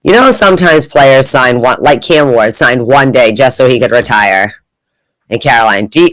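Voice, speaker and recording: A man's voice: mid-range voice, normal speed, fairly bright tone, no room sound, low-quality recording. Man, podcast co-host, casual rambling chat, dry close sound. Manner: chatty, rambling, lots of "like".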